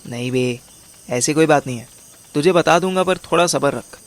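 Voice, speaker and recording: A man's voice: fairly high pitch, normal speed, close to an everyday voice. A man narrating and playing a scheming, overweight amateur detective in an audio drama, with a wry, colloquial manner. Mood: conspiratorial. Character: overweight, scheming male amateur detective telling his story. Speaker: narrator and lead character.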